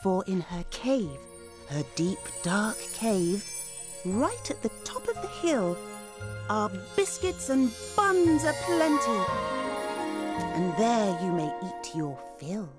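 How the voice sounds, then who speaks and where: A woman's voice: medium pitch, big pitch swings, slow pace, over music. A woman voicing a clever, scheming female duck with a sly, coaxing manner in a picture-book read-aloud. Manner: sly, coaxing storybook reading.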